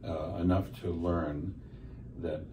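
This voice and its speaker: Older man interviewing, very low voice. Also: takes it slowly. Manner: relaxed, rambling with fillers. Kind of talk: casual interview. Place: small room with a framed map on the wall. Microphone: stand mic, filmed by a far camera. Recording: roomy, distant, noisy.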